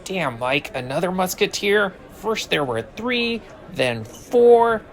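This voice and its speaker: Slow-talking, high-voiced teen wisecracker played by a man. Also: sing-song, heavily acted. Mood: teasing. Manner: blunt, joking. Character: wisecracking, blunt American high school boy. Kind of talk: audio drama acting.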